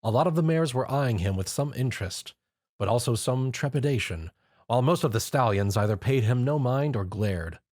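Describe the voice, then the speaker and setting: A man's voice: medium pitch, lively intonation, moderate pace, a little mellow, dry recording, studio quality. A man reading scripted story narration.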